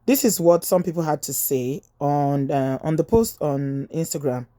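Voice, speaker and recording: Woman, reaction commentary, calm, mellow, dry close sound. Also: low voice, normal speed, no echo. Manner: calm, explanatory. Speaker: YouTube commentator.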